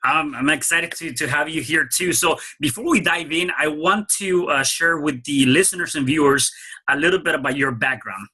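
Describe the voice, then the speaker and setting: Bright, husky-voiced male podcast host. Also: a little high, moderate pace. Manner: hesitant, many fillers and restarts. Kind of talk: podcast interview.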